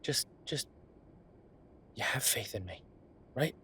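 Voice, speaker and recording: A man playing an affectionate, teasing chef boyfriend in an intimate roleplay audio, talking in a conversational, reassuring way with a husky voice. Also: mid-range voice, expressive, takes it slowly, sharp tone, slight background noise. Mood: playful, sheepish. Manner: conversational, reassuring, playfully bantering. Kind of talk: intimate one-sided boyfriend roleplay acting.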